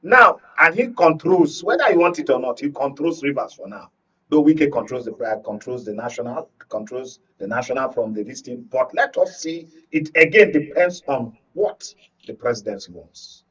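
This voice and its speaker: Nigerian male panel analyst, raspy mid-pitched voice, halting delivery, slightly off-mic. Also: animated, a bit bright, distant mic. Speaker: guest media analyst. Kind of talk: panel commentary. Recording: dull audio, away from the mic.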